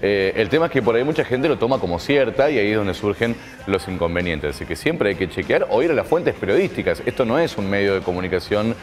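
A man's voice: lowish voice, expressive, fast, faint music behind. An Argentine man chatting casually and teasingly as a panelist on a TV magazine show. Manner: joking, teasing. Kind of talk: casual chat. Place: TV studio.

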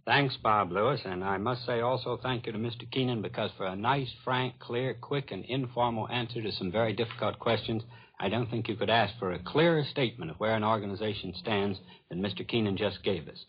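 A man's voice: lowish voice, brisk. An American man hosting a 1940s radio news program in a broadcast presenting style. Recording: dull 1940s radio recording.

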